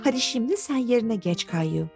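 Kind, high-voiced cartoon grown-up. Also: female voice, lively intonation, moderate pace, vocal fry. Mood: gentle, regretful. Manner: gentle, careful explaining to small children. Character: kind, patient female preschool teacher or mother. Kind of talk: children's cartoon dubbing. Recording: studio dub over background music.

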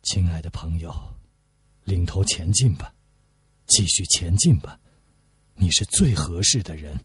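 A man's voice: low-pitched, lively intonation, unhurried, slightly rough, dry recording. A man narrating a literary audiobook, reading from a script.

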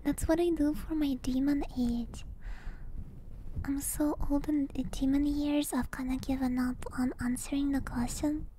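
A woman chatting softly and casually to livestream viewers in a breathy, mostly whispered ASMR style, close to the microphone. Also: high-pitched, measured pace, slight background noise. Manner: soft-spoken, relaxed, rambling. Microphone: close binaural ASMR microphone.

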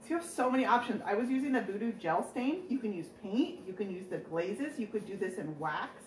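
A chatty woman in her thirties hosts a live painting demo, joking with viewers and laughing easily. Her voice sits at an ordinary middle pitch. It carries some room echo, since she is a little away from the mic. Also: expressive, a little fast, slight background noise. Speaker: furniture painting host. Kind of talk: casual live demo chat. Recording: echoey room, mic at a distance.

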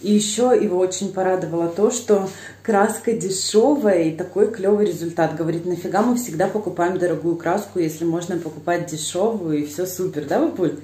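A woman's voice: lowish voice, fairly quick, warm tone, roomy sound. Chatty woman vlogging at night. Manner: chatty, addresses viewers directly. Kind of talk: casual home vlog. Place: small room indoors at night. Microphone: phone held at arm's length.

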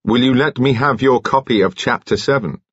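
A man voicing a calm, courteous but firm English police detective in an audiobook, quiet and measured. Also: mid-range voice, husky, no echo, highs cut off, voice pushed up, lightly acted. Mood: polite, firm.

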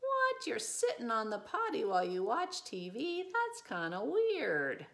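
A woman's voice: on the high side, wildly swooping pitch, measured pace, a bit bright, full character voice. A woman voicing a small, indignant boy critter from a storybook, with exaggerated childlike disbelief. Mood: incredulous.

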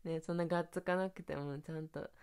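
A man's voice: on the high side, fairly even intonation, fast talker, a little mellow, smooth, lightly acted. A young man acting as an affectionate dog owner in an ASMR roleplay, cooing and playfully baby-talking to his pet. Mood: soft, fond. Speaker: ASMR voice performer. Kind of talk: Japanese ASMR situation voice roleplay.

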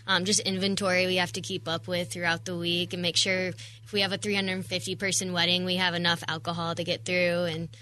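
A woman's voice: lowish voice, level tone, a little fast, few pauses, bright, forceful tone. A woman speaking casually as a guest in a radio studio interview. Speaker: interview guest, event venue staff. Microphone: studio microphone.

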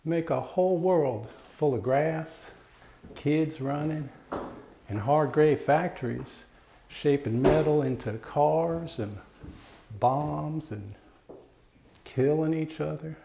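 A man's voice: on the high side, takes it slowly, gravelly, mellow. A man reciting a narrative poem as a plainspoken, reflective country man with an American country accent. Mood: wistful, wondering. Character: plainspoken, wondering country man musing on life. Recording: echoey room with faint background noise.

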